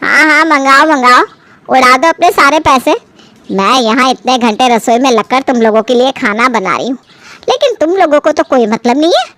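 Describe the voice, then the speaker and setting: Woman acting middle-aged cartoon mum, very high voice, sarcastic, short-tempered, scolding, dry recording. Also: lively intonation, moderate pace, fairly bright tone, dry recording. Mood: sarcastic, hurt.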